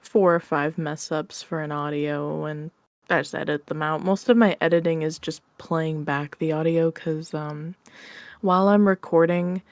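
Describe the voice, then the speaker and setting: Casual woman with a lowish voice. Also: fairly even intonation, moderate pace, no room sound. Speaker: interview guest, audio roleplay creator. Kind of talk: casual chat.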